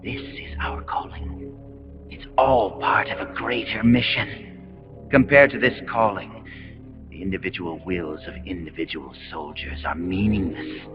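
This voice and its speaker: An actor with an American accent playing a calm, messianic military commander villain in a video game, speaking in solemn, grandiose, deliberate speeches. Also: male voice, deep voice. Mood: preaching. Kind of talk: dramatic video game cutscene monologue. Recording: muffled game audio under loud music.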